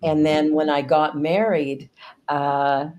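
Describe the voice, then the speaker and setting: A middle-aged woman hosting a casual interview chat, effusive and quick to laugh. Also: sits low, little pitch movement, darkish tone, muffled recording. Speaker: host and spiritual director.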